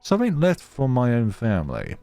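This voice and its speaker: A man's voice: medium pitch, huge pitch swings, a little fast, mellow, dry recording, faint music behind, pitched above the performer's own voice. A man playing an earnest, awkward young traveler in a visual novel, chatty, teasing and self-deprecating.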